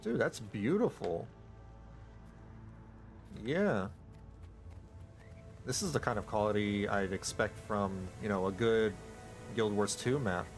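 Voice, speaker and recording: A man streaming a game, rambling casually with excited outbursts and stammering restarts. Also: on the low side, slow, long pauses, faint music behind. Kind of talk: casual live gameplay commentary.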